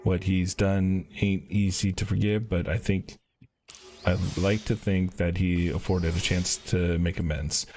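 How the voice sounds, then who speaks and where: A man's voice: low voice, level tone, a little slow, darkish tone, soft background music. A man reading game dialogue aloud on a livestream, sometimes stumbling and going back over phrases.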